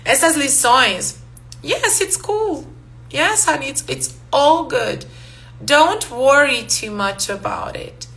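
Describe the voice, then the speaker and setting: Hungarian-accented teacher lady, bright tense voice, chatty live class on her phone. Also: sits high, slow, low-quality recording. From Romania. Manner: lively, switching between Portuguese and English, laughing often. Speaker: language teacher.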